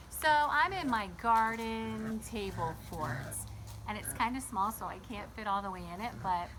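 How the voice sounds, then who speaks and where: A woman hosting a children's storybook read-aloud outdoors, chatting in an animated way and putting questions to her young listeners. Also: a little high, background noise. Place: garden, sitting outdoors by a small table fort.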